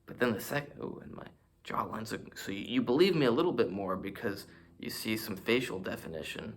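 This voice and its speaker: A man's voice: lowish voice, lively intonation, fairly quick, slight background noise. A man vlogging about fitness, talking to a camera in front of him in a rambling, self-mocking way as he shows off poses. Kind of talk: casual rambling monologue to camera.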